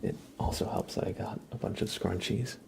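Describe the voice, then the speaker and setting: A young man doing a casual ASMR livestream chat, relaxed and halting, softly spoken and often slipping into whispers. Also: fairly low pitch, vocal fry, a little hiss or hum. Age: young adult. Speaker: ASMR livestreamer chatting with viewers.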